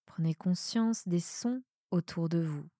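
A woman with a soft voice guiding a relaxation meditation in a calm, soothing manner with measured pauses. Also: fairly low pitch, no room sound, studio quality. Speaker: yoga nidra guide. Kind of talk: guided meditation, relaxation instruction.

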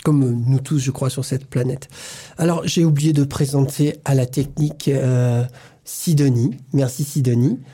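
Dark-toned chatty radio host. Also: male voice, medium pitch, steady tone, unhurried, slightly rough. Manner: chatty, laughs easily, stretches words. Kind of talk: casual radio interview.

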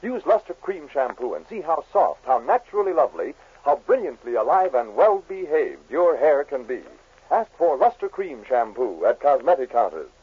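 A man's voice: mid-range voice, big pitch swings, normal speed, noisy background. An American man delivering an emphatic, salesmanlike scripted commercial in 1940s radio style, on a muffled old recording. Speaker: commercial announcer.

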